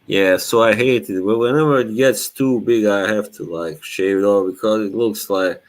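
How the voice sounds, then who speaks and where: A man's voice: fairly low pitch, normal speed. A young man from Bosnia, whose first language is Bosnian, hosting a casual live chat in a laid-back way with frequent laughs. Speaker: live stream host.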